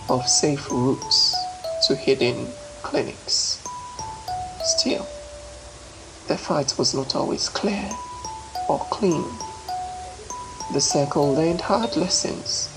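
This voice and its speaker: A woman storyteller with a gravelly voice tells a folktale at a slow, deliberate pace. Her phrasing is emphatic and measured, with dramatic pauses, over a clear bed of music. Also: rough recording. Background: ambient marimba, xylophone and low nature sounds.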